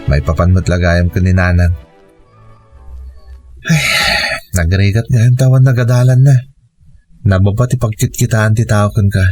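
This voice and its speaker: A man's voice: on the low side, normal speed, long pauses, warm tone, lightly acted. A man with an Ilocano accent acting a dutiful, long-suffering grown son in a radio drama, earnest and plaintive. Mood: hurt, resigned. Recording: music bed under the voice.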